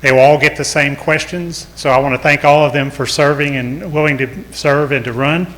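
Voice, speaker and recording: Texan man, forum moderator, bright voice, relaxed folksy delivery. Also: on the high side, normal speed, low-quality recording. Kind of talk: moderating a public debate.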